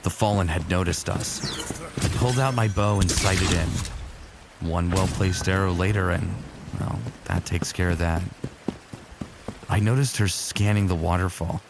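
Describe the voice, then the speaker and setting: A man's voice: low voice, fairly even intonation, a little slow, very noisy. A man playing a smitten, teasing sci-fi warrior who narrates his own exploits in a fan audio drama, casual and wry. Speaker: narrator and lead character.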